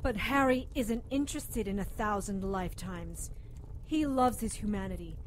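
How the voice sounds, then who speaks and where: An actress playing a sharp-tongued, assertive woman doctor who narrates a radio drama. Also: mid-range voice, moderate pace, a little hiss or hum, natural voice, rough recording. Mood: challenging. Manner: challenging, assertive.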